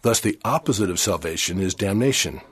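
Older man from Chile preaching a sermon; low, slightly husky voice, measured and earnest. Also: expressive, fairly bright tone. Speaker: church speaker. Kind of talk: formal sermon.

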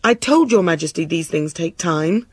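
A reader voicing a calm, deferential storybook enchanter, a man who is polite, measured and placating. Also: mid-range voice, breathy edge, no room sound, studio quality. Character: calm, deferential male enchanter, placating but sure of himself. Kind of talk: storybook character voice.

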